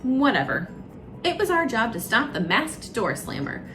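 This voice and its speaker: A woman's voice: on the high side, sing-song, normal speed. A woman storyteller narrating a children's storybook aloud. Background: music.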